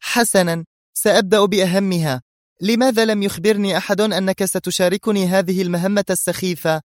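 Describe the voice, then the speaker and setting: A man's voice: sits high, steady tone, brisk, dry recording, studio quality, pitched above the performer's own voice, natural voice. A man voicing an indignant, exasperated female agent who exclaims her lines in an audio story. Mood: angry.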